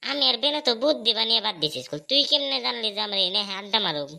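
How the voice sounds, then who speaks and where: A woman's voice: fairly high pitch, very animated, rapid-fire, gravelly, bright, forceful tone, a little hiss or hum, exaggerated voice. A woman voicing a cheeky, scheming truant schoolboy in a comedy cartoon, boastful and teasing, with a rural Bangladeshi Bengali accent.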